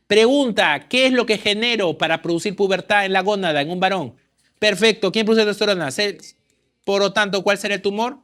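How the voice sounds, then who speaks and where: A man's voice: high voice, fairly bright tone. A man tutoring medical students, walking through exam questions and answering his own questions.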